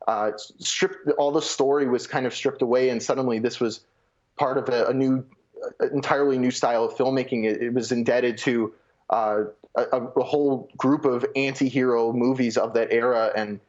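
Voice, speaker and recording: Male pundit, mid-pitched, halting live commentary with repeated words, dull lo-fi link. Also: steady tone, a little mellow. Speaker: film reporter, interview guest. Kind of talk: unscripted live interview commentary.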